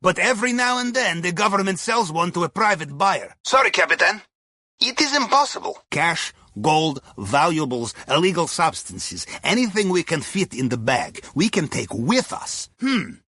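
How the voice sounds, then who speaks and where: A man playing a wry Russian submarine navigator and heist advisor in a video game, with a Russian accent, dry dark humor and dropped articles. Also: high-pitched, big pitch swings, normal speed, no echo, full character voice. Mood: matter-of-fact briefing. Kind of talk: video game mission dialogue.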